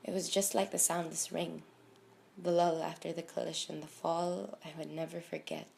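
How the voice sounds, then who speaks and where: A woman in her early twenties performing dramatic spoken word poetry, with a deliberate, emotive delivery full of pauses and emphasis. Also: lowish voice, flat delivery, gravelly, darkish tone, a little hiss or hum. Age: early 20s. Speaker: slam poet.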